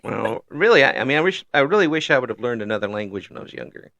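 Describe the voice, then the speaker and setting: Male American interviewer, medium pitch, jokey and halting, very dry close recording. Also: very animated, gravelly, a bit bright, no echo. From United States. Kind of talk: casual chat interview.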